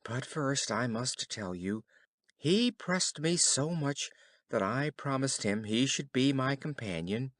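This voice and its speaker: A spoiled young fairy-tale princess, played by a male narrator. The delivery is expressive and swooping, with a disdainful streak and a dry, close sound. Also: medium pitch, takes it slowly, no echo. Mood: anxious.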